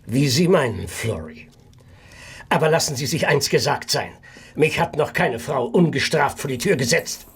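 An actor playing a predatory doctor in a radio play, speaking in a condescending, insinuating manner. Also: male voice, medium pitch, expressive, normal speed, slightly rough. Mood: coldly threatening. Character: smooth, predatory, menacing male family doctor.

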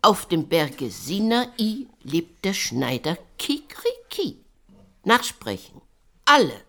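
A woman's voice: fairly low pitch, big pitch swings, exaggerated voice. An actress playing a stern, pious village schoolmistress in a radio play, giving curt commands as she drills her class. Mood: scolding. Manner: curt barked commands, slow drilling diction. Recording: loud noise behind the voice.

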